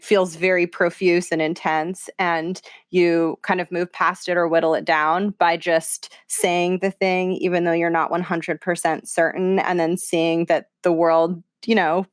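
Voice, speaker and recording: Low-voiced woman hosting, dry sound. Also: dry recording. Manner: encouraging, reflective, long flowing sentences. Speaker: podcast host. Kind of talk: conversational interview.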